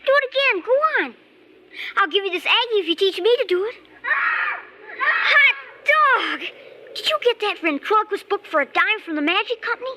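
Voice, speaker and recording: An actress playing an excitable, gullible young schoolkid with a slangy, boyish manner in an old-time radio drama. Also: female voice, very high-pitched, normal speed, shouting. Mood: excited, admiring. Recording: noisy vintage radio drama recording.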